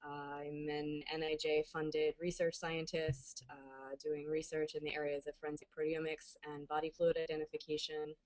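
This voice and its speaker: A woman's voice: deep voice, little pitch movement, moderate pace, few pauses, a little mellow, rough recording. An American woman in her thirties co-hosting a webinar as a science educator, in an informal professional style.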